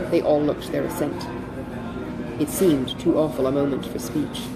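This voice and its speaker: A woman reading a classic novel aloud as an audiobook narrator, in an expressive literary style. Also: low voice, normal speed, loud music behind the voice.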